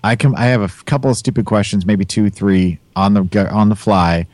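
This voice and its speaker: Man, lowish voice, dry close mic, casual teasing podcast host. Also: fairly even intonation, fairly quick, darkish tone, no echo. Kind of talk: casual chat.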